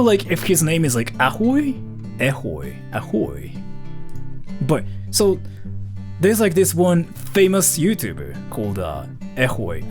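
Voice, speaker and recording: Male streamer with very animated, swinging pitch. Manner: rambling, repetitive, hesitant. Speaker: livestreamer reading donations. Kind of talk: casual livestream chat.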